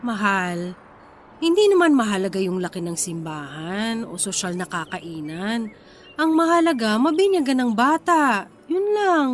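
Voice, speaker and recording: A woman's voice: medium pitch, lively intonation, unhurried, slight background noise, lightly acted. A woman playing a modest, practical young Filipina wife and mother in a radio drama, hesitant and questioning. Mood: concerned, pleading.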